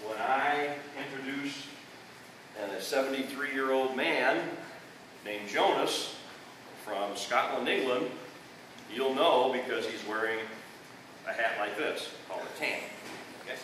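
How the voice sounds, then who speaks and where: An older Midwestern man teaches a school group in a folksy, plain way, stopping to check in with the kids. He sounds distant from the mic, and his voice bounces around a very echoey room with a clear hiss of ambience. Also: mid-range voice, takes it slowly, long pauses, a bit bright. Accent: Midwestern American. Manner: folksy, checks in with "okay?" often. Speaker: re-enactor and teacher. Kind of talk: classroom history presentation.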